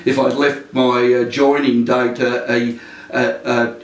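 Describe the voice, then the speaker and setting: An older man, a retired police drill instructor, being interviewed in a casual, rambling way with many fillers and restarts and a naturally loud voice. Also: medium pitch, flat delivery, slow. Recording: echoey room, mic at a distance.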